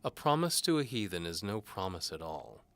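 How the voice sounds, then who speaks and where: A man's voice: low voice, very animated, moderate pace, vocal fry, a bit bright, pitched above the performer's own voice, exaggerated voice. A man playing a zealous, pompous older medieval bishop who speaks in a didactic, commanding way in an audio drama. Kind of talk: audio drama character acting.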